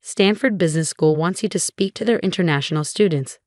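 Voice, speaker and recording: A woman voicing a brisk female business ally in a crisp, matter-of-fact tone. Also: lowish voice, no room sound, clean studio sound, close to an everyday voice. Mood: businesslike.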